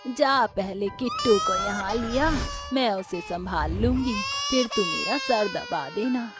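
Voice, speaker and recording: A woman's voice: mid-range voice, lively intonation, slow pace, over loud music. A woman voicing an impatient, complaining mother-in-law in a cartoon family story. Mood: nagging. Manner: nagging, impatient.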